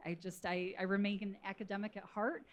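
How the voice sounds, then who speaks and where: A woman's voice: medium pitch, flat delivery, brisk, highs cut off. A woman speaking as an expert panel guest, explaining her work in a casual, conversational way. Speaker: panel guest, food scientist.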